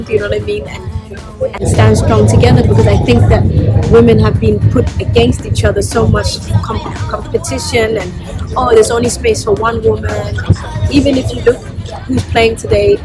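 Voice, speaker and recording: A woman from Copenhagen, Denmark, speaking as a singer in a casual, expressive festival interview. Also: mid-range voice, poor audio. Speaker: singer and rapper being interviewed.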